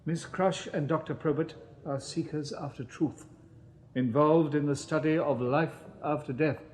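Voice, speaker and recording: An actor playing a pompous, snobbish Victorian police inspector in a radio drama, with an upper-class English accent and formal, condescending delivery. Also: male voice, a little high, measured pace, a little mellow, slight background noise, natural voice. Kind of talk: 1980s British radio drama acting.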